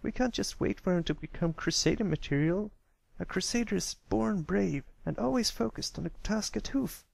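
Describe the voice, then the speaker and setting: A man's voice: high-pitched, lively intonation, normal speed, vocal fry, darkish tone, no echo, voice pushed up, full character voice. A man voicing a brash, bossy, suspicious young filly pony in a curt, challenging way for a cartoon fan story. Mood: argumentative.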